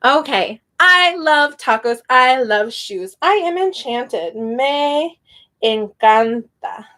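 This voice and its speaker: A woman's voice: sits high, lively intonation, slow pace, sharp tone. A young woman teaching a casual spoken lesson, chatty and prone to tangents, laughing often. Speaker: Spanish teacher.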